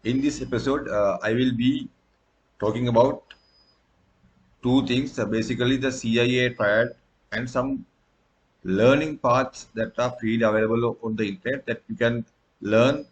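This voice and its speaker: A man's voice: mid-range voice, steady tone, moderate pace, low-quality recording. A man from Sri Lanka, a native Sinhala speaker, giving a recorded tutorial lecture with frequent fillers and restarts. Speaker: instructor.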